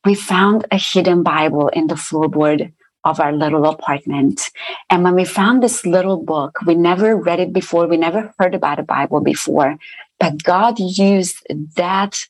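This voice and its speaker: A woman's voice: fairly low pitch, normal speed, low-quality recording. A woman from Romania giving an earnest faith testimony as an interview guest. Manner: earnest, steady storytelling.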